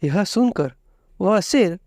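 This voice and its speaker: Slow, expressive, mellow storyteller. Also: medium pitch, no room sound, clean studio sound. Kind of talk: scripted children's fable narration.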